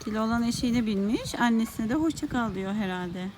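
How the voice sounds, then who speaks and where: Higher-voiced woman chatting over clear background noise. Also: animated, a little fast. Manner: explanatory, asks the child guiding questions. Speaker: adult guiding a child through a park. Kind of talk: casual chat with a child. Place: outdoors in a theme park. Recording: very noisy on-location recording with outdoor ambience.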